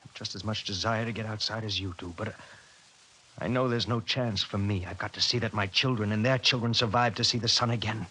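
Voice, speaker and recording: An American actor playing a stern, status-obsessed husband who lectures impatiently in a 1950s radio drama. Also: male voice, fairly low pitch, fairly even intonation, brisk, sharp tone, slightly rough, rough recording. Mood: defensive, grim. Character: domineering, status-obsessed husband on a post-war committee.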